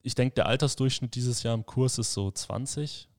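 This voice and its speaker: A man's voice: medium pitch, moderate pace, no room sound, studio quality. A man of twenty-one hosting a podcast, asking questions in an easygoing way with lots of fillers. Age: 20s. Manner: relaxed, friendly questioning with many fillers. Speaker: podcast host, leader at a mission school. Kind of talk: casual podcast conversation.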